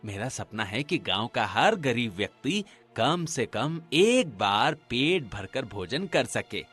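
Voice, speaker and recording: An earnest, slow-talking poor villager with a hopeful, humble temper, played by a man. His pitch moves expressively, as in a cartoon drama, and music plays beneath him. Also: big pitch swings, a bit bright, husky, exaggerated voice. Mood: determined, humble. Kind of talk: acted Hindi cartoon dialogue.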